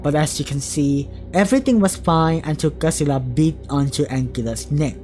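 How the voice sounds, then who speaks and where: Low-pitched woman narrating a video essay. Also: normal speed, a little mellow, faint music behind. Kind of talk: scripted analysis narration.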